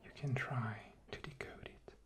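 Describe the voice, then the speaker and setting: A man whispering an ASMR demonstration, explaining patiently and haltingly with repetition. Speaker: guest ASMR performer.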